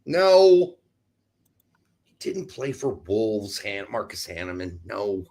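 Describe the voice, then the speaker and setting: A man's voice: on the low side, sing-song, slow, creaky, a little mellow. A man with an American accent chatting casually about sports as a livestream co-host, blunt and opinionated.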